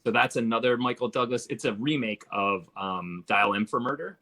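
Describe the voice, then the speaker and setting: A man's voice: mid-range voice, normal speed, pushed and bright. A man in a casual film discussion, rambling and thinking aloud as a podcast panelist.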